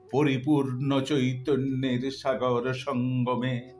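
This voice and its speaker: A man recites poetry in a solemn, dramatic style, measured and contemplative, with deliberate pauses. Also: a little high. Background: background music.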